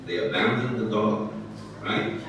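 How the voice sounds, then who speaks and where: A Brazilian man in his sixties, a native Portuguese speaker, talking slowly and clearly in English to students, often checking that he is understood. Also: fairly low pitch, a bit bright, a little hiss or hum. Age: 60s. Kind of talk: talking to students in a language class. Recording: echoey, distant room sound.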